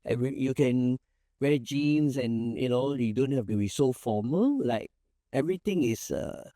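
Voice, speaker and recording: A man's voice: medium pitch, expressive, moderate pace, mellow, no echo, clean studio sound. A Malaysian man, a small firm's managing partner, answering interview questions in an easygoing, rambling way with many fillers and restarts.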